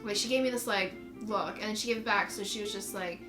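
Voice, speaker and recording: A woman's voice: mid-range voice, fast talker. A woman in her twenties chatting casually and banteringly as a video co-host, answering viewer questions. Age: 20s. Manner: bantering, informal. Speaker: YouTube co-host. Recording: echoey room. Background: background music.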